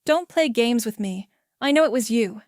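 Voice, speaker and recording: Sex: woman voicing a man. Figure: a woman playing a furious husband, accusing and pressing. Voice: fairly high, dry close sound. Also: lively intonation, normal speed, darkish tone, no echo, natural voice. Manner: angry, pressing, raised voice. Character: furious middle-aged husband, pleading and blaming.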